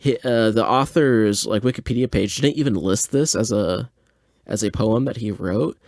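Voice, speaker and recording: Male podcast host: informal and rambling, lowish pitch, very dry close-mic sound. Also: moderate pace, vocal fry, a little mellow, no room sound. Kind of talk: casual, chatty show introduction.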